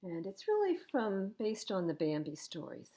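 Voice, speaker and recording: An older woman reading picture books aloud as a library storytime host, in an expressive, animated manner. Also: mid-range voice, normal speed, gravelly. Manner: animated, acting out dialogue.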